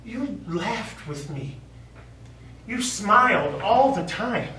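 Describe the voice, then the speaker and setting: An actor on stage playing a middle-aged American war veteran, remorseful and lovelorn, speaking in a halting, earnest, pleading way. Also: male voice, sits high, lively intonation, pushed and bright, full character voice. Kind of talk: stage play acting. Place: small theatre stage. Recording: echoey theatre stage, distant mic, faint noise.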